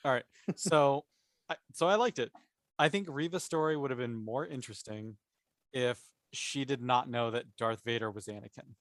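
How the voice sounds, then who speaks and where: A man's voice: medium pitch, normal speed, no room sound. A man talking casually as a podcast panelist, explaining his opinions and thinking aloud as he goes. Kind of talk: casual opinionated discussion of a TV series.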